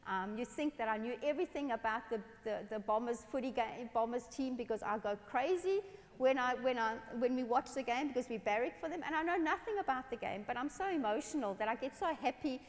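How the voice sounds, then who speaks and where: A woman from South Africa, a pastor telling personal stories in a lively sermon on a church stage. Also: a little high, fairly quick. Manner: animated, expressive, gestures while speaking. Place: large dark church stage. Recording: long church hall reverb.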